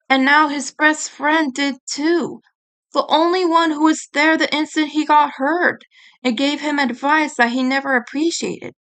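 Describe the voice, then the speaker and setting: A woman with a high voice narrates a story as an audiobook reader. She reads very slowly, drawing out phrases with dramatic expression. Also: fairly bright tone. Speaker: fan fiction audiobook reader.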